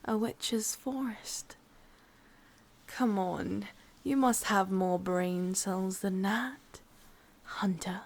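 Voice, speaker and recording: A woman voicing a sadistic, possessive forest witch in an ASMR roleplay, with an English accent, half-whispering and teasing in drawn-out phrases. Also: medium pitch, darkish tone. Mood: sly, gloating.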